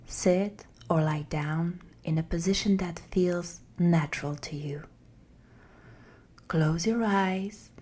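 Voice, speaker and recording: A woman guiding a meditation in a soft, breathy, half-whispered voice, gentle and soothing with long pauses. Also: fairly low pitch. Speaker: meditation guide. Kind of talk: guided meditation, slow scripted narration.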